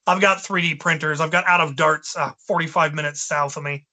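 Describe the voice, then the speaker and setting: A man's voice: on the high side, steady tone, brisk, bright, forceful tone. A man in his early forties and co-host of a hobby podcast, chatting casually and thinking aloud, with many fillers and restarts. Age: 40s. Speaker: co-host, blaster modder. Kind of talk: casual chat.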